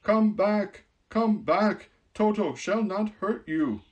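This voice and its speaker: A woman's voice: rumbling low, big pitch swings, a little slow, a little mellow, muffled recording, full character voice. A woman reading a storybook aloud, voicing a kind and courteous man made of tin. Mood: calling out. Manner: earnest, courteous. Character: kind heartless tin man, gentle and formal.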